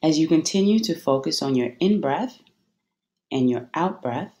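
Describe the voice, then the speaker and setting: A meditation guide giving soothing, measured instructions with deliberate pauses between phrases. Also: mid-range voice, lively intonation, mellow.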